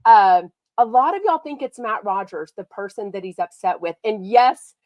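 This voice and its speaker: A woman from Texas, hosting a casual gossip livestream. She has a slight cold that makes her sound nasal, and she talks in a bubbly, excitable way. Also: medium pitch, moderate pace, no echo, muffled recording. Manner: bubbly and excitable, lots of "like" and "oh my gosh". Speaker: podcast host.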